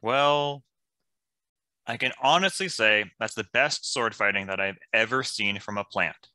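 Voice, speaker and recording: A man playing a kindly, encouraging warrior hero with an upbeat, reassuring manner, in an animated fantasy table read. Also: lowish voice, measured pace, no echo.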